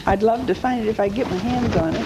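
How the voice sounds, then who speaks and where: A woman's voice: mid-range voice, fast talker. A woman hosting a talk show, interviewing in a casual, chatty and effusive way. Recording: loud background noise.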